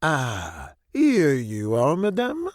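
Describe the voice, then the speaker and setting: Flamboyant maître d'. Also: male voice, mid-range voice, huge pitch swings, very slow, darkish tone, dry recording, clean studio sound, exaggerated voice. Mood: eager to please. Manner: formal, flourishing, deferential. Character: fussy, theatrical French-style maître d', obsequiously polite. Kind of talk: audio drama acting.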